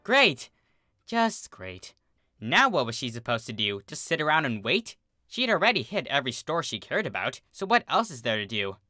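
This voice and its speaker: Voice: mid-range voice, big pitch swings, moderate pace, pushed and bright, no echo, soft background music. A story reader voicing a spoiled young girl pony who is whiny and easily exasperated, in a cartoon fan-fiction reading. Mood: sulky, bored.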